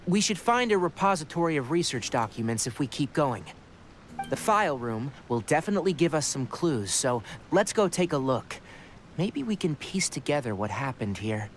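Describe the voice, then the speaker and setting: Composed, serious young scholar with lively pitch. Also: male voice, a little hiss or hum. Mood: analytical. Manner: measured, clinical explaining. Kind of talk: anime video game voice acting. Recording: game audio with music behind.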